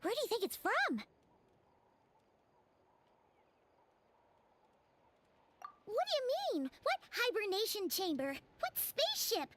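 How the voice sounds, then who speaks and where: A woman voicing a tiny, excitable childlike fairy companion in a video game, with a squeaky, cutesy, exaggerated delivery. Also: moderate pace, background noise, full character voice. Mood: curious, startled. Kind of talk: video game character acting.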